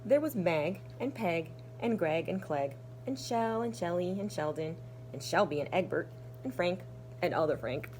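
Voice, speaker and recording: A woman teacher reading to young children in an expressive, deliberate storytelling manner. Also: fairly low pitch, noisy background. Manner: animated, deliberate storytelling with hushed, breathy asides. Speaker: kindergarten teacher. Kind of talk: expressive children's storybook read-aloud with asides.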